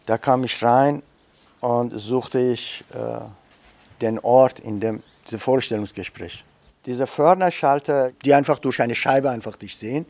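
A man's voice: mid-range voice, a little slow, darkish tone, no echo. A man, an education trainer, reflectively telling a personal story in an interview, with frequent fillers and restarts.

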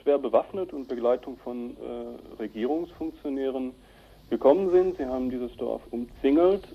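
A man's voice: mid-range voice, moderate pace, gravelly, breathy edge. Man on a muffled phone line, explaining. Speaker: human rights organisation director being interviewed. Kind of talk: telephone interview, explanatory. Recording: dull, band-limited telephone line.

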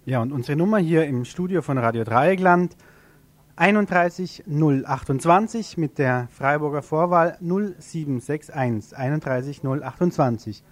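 A man's voice: fairly high pitch, normal speed. A man presenting a radio news magazine in an informal style.

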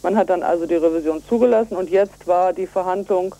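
Mellow-voiced woman explaining earnestly by phone. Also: on the low side, fast talker. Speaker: environmental activist and association chair being interviewed. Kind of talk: radio phone interview, explanatory. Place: on a telephone call to a radio show. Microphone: telephone. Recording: voice over a telephone line.

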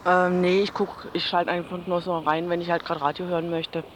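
A man's voice: high voice, level tone, fairly quick. A man answering a street interview casually, thinking aloud and hesitating as he goes. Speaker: passer-by being interviewed. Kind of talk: casual spontaneous street chat. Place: outdoors on a busy street. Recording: faint street noise behind.

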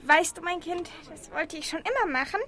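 A woman's voice: extremely high pitch, a little fast, pushed and bright. A woman playing a lonely, fretful old grandmother in an amateur radio play, chatty, wistful and clinging. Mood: excited. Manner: wistful, chatty, clinging, addresses others as my child. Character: lonely, doting, fretful old granny dreaming of America.

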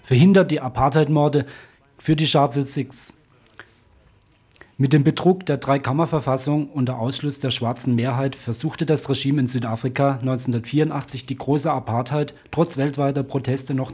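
A man's voice: medium pitch, warm tone, husky. A man reading a scripted political news report on the radio.

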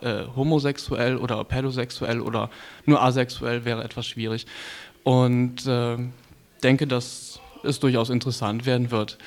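A man presenting a radio programme off the cuff, halting often to search for words. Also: medium pitch. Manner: halting, with frequent hesitations and searching pauses. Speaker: community radio presenter of an editorial team. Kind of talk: unscripted on-air programme presentation. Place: radio studio.

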